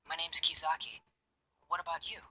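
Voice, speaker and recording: A man voicing a nervous, soft-spoken young male college student in a visual novel game, with a breathy, hesitant delivery. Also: on the high side, monotone, moderate pace, low-quality recording. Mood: quiet, uneasy. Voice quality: breathy, whispery.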